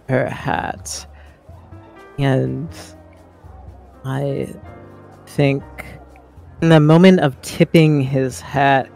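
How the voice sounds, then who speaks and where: A man playing a tabletop roleplaying game, improvising third-person storytelling in halting, deliberate phrases. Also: fairly high pitch, vocal fry, a little mellow.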